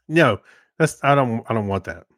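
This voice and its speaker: A man co-hosts a relaxed chat show in a mid-range voice. He reacts in short, halting, skeptical asides. The recording is dry and free of room echo. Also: medium pitch. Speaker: podcast co-host.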